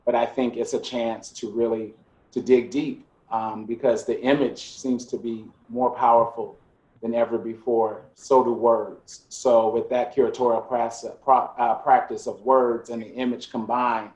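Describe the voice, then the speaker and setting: A man speaking as a curator on a video-call panel, reflective and measured as he builds his points step by step. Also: medium pitch, little pitch movement, darkish tone. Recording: echoey room on a video call.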